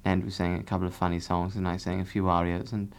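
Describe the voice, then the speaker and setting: A man's voice: deep voice, flat delivery, fast. A man playing a pompous singer who rambles on and keeps correcting his partner in a comic radio interview. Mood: grandiose. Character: pompous man, self-styled bass singer. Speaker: half of a singing duo.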